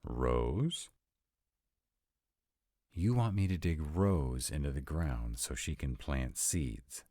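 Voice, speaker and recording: A very deep, dark-toned male vampire, acted by a man for a roleplay audio drama. He is sarcastic and grudgingly devoted, with lively swings in his low voice and a dry, reluctant delivery. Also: takes it slowly, pauses often, dry recording, heavily acted. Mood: incredulous. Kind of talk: scripted roleplay audio drama, one side of a conversation.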